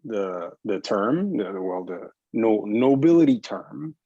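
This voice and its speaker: A man with a fairly low voice, recorded dry and close. He talks in a casual group discussion as a filmmaker, stating opinions firmly while stumbling over and repeating words. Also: sing-song, slow pace, mellow, slightly rough, no room sound, dull audio.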